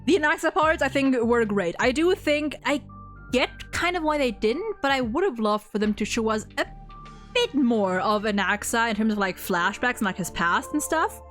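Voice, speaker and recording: A woman's voice: a little high, lively intonation, moderate pace, fairly bright tone, soft background music. A woman in casual gaming fan chat, co-hosting with effusive delivery and frequent swearing. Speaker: co-host.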